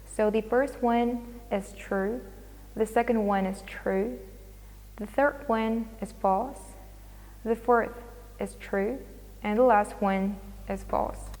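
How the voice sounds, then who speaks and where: A woman teaching an English grammar lesson, explaining slowly and reading examples aloud. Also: on the high side, steady tone, a little mellow, long reverb tail, low-quality recording.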